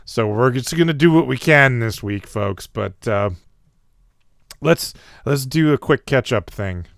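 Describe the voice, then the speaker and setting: A man's voice: medium pitch, animated, a little slow, gravelly, dry recording. A man hosting a podcast in casual chat, with a sore throat and a fading voice, trading dry upbeat banter. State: sore throat, losing his voice. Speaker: podcast host.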